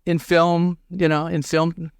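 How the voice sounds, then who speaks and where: Man from Thailand, podcast guest, higher voice, laughs often, dry close mic. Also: level tone, slow pace, vocal fry, clean tone, no room sound. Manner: laughs often, repeats words. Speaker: guest, post-producer. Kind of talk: casual interview.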